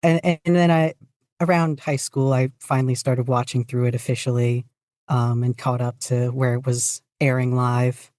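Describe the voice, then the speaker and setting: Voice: medium pitch, little pitch movement, normal speed, darkish tone, dry recording, clean studio sound. A podcast guest in their twenties chatting casually and joking dryly in an interview. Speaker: podcast guest, fan fiction writer and podfic reader.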